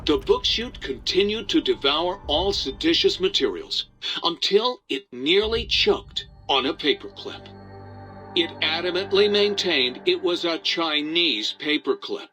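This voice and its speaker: A man's voice: on the high side, lively intonation, a little slow, sharp tone, low-quality recording. A man narrating a video game epilogue as a dry storyteller reading scripted lines. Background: faint music behind the voice.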